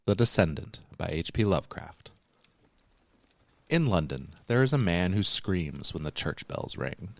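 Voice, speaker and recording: Man, low deep pitch, measured formal storytelling, horror audiobook narration. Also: very animated, creaky, no room sound. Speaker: host and narrator of a horror literature podcast.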